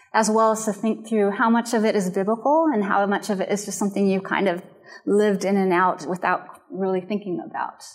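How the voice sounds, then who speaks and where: A woman with a Japanese and American background, giving a measured, earnest lecture on biblical counseling and culture. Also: mid-range voice. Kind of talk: recorded lecture.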